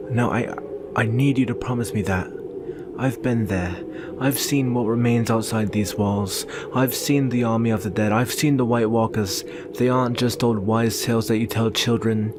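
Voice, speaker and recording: A man playing a brooding, protective young northern warrior and devoted lover in an earnest, solemn ASMR roleplay with measured pauses. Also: fairly low pitch, natural voice. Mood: urgent, pleading. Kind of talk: ASMR roleplay, intimate slow dramatic monologue. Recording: very noisy ambient background.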